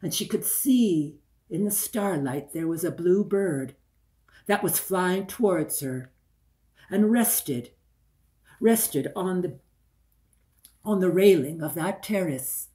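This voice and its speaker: Male storyteller, 60s, unhurried and deliberate delivery, theatrical folktale narration. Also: high voice, lively intonation, mellow. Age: older, 60s. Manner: deliberate, repeats phrases for effect. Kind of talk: dramatic oral folktale storytelling. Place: small room indoors by a window.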